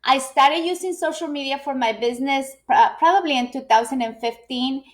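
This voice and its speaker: Middle-aged woman, high voice, chatty live-stream speaker who restarts often. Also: moderate pace. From Ecuador. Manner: chatty, frequent fillers and restarts. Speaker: business owner and speaker. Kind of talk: live video presentation.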